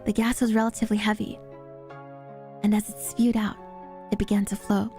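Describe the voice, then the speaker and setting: A woman's voice: medium pitch, flat delivery, moderate pace, warm tone, faint music behind. A woman VTuber reading scripted story narration aloud in a dramatic readalong style.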